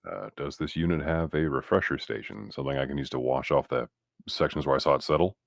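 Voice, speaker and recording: Very deep, quick-talking man, closely recorded. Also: creaky, dry recording, clean studio sound. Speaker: player. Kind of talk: in-character tabletop roleplaying questions and remarks.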